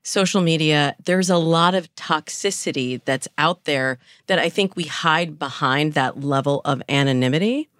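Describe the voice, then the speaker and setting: A woman giving articulate, measured explanations as a dating coach in a podcast interview. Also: deep voice, fairly bright tone, no room sound, clean studio sound.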